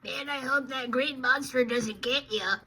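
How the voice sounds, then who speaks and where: A woman's voice: high voice, a little slow, pushed and bright, slightly rough, pitched above the performer's own voice, exaggerated voice, poor audio. A woman voicing a teasing sidekick who plays innocent while needling the president.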